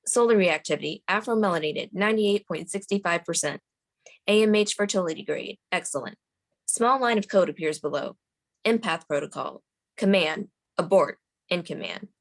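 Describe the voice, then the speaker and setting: A woman's voice: lowish voice, normal speed. A woman narrator reading screenplay stage directions aloud at a table read.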